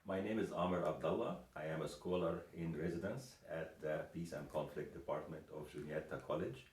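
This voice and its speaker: Older Egyptian man, low and far. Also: normal speed, a little mellow. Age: 60s. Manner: hesitant, many fillers and restarts. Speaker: interviewer and professor. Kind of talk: casual interview. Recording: echoey room, voice from far off.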